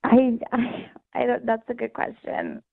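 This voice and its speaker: A woman's voice: on the high side, wildly swooping pitch, unhurried, creaky, slightly rough. A young woman talking casually and explaining herself as a guest on a radio phone-in. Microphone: phone call. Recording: thin, band-limited phone line.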